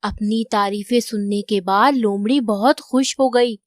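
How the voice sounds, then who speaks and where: A woman's voice: mid-range voice, a little slow, no echo, clean studio sound. A woman narrating a scripted children's moral story.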